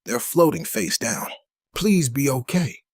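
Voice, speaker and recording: Desperate seafarer in audio drama, played by a man. Raspy, slow delivery, dry recording. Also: mid-range voice, lively intonation, no echo. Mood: anxious, pleading. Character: desperate man struggling at sea.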